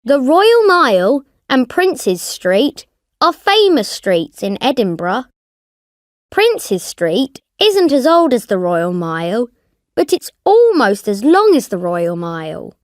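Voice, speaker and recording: A woman's voice: fairly high pitch, big pitch swings, slow, no echo, clean studio sound. A woman voicing a friendly child from Scotland in a scripted language course, careful and informative. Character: friendly young Scottish child introducing a city.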